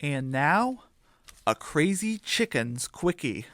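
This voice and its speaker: A narrator reads a scripted children's radio story at an unhurried pace. The pitch is mid-range and moves expressively, and the recording is close and dry. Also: big pitch swings, a bit bright, no room sound.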